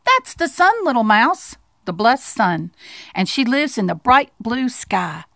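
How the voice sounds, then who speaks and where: A woman's voice: on the high side, very animated, measured pace, gravelly, sharp tone, dry recording, clean studio sound, full character voice. Woman as an emphatic, friendly storybook bee. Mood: friendly, declaring. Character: friendly buzzing bee, patient with a little mouse. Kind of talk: storybook character voice.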